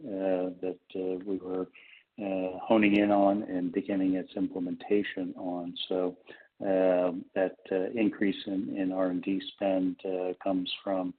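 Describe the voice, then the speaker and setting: A male company executive answering questions on an earnings call in a halting, hesitant manner with broken phrasing. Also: sits low. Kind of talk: unscripted business question-and-answer. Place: conference call line. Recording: muffled, band-limited conference call audio.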